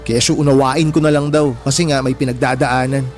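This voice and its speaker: A man's voice: mid-range voice, flat delivery, brisk, natural voice. A man with a Filipino accent playing a wounded, resentful teenage younger brother in a radio drama. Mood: resigned, hurt. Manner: defensive, resentful, sharp-tongued. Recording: music bed under dialogue. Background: background music.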